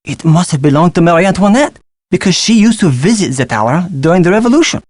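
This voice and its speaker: A man's voice: high-pitched, lively intonation, fast, darkish tone, dry recording, clean studio sound. Theatrical young Frenchman, swooping delivery. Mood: storytelling, intent.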